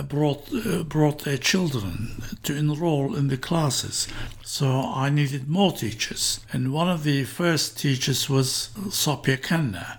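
Older Iraqi-born man recalling the past. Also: a little high. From northern Iraq. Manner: hesitant, repeats and restarts words. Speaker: interview guest. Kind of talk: reminiscing in an interview.

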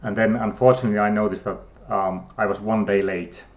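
A man's voice: on the low side, fairly even intonation, brisk, lo-fi sound. Hesitant Finnish-accented man. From Finland. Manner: hesitant, many fillers and restarts. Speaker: guest scientist. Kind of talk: casual interview talk.

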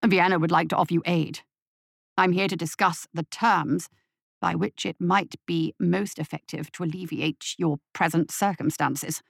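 A woman's voice: lowish voice, fairly even intonation, moderate pace, gravelly, dry recording, clean studio sound, voice pushed down. A woman voicing a pompous, aristocratic male emissary who speaks in a haughty, clipped and dismissive manner.

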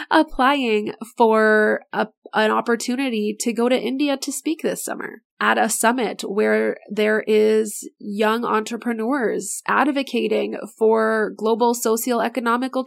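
A middle-aged woman hosting her own podcast, chatting in an enthusiastic, motivational way, her voice a bit raspy and tired. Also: a little high. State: voice getting raspy, not feeling great. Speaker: podcast host and business owner. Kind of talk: casual solo podcast talk.

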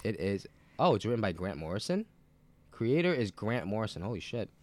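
A podcast host who is sick with a cold and sounds nasal, talking in a loose, rambling, profane way with lots of fillers. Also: male voice, fairly low pitch, sing-song, fairly quick. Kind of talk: casual rambling chat.

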